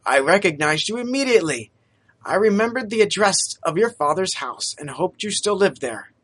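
A man voicing a humble, grateful young street vendor in a storybook reading, with a high, eager voice. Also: animated, moderate pace, a bit bright, breathy edge, heavily acted.

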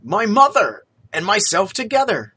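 A man voicing a high, eager young street vendor. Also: sing-song, unhurried, bright, forceful tone, slightly rough, heavily acted. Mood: eager, humble. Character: cheerful poor young male street vendor, humble.

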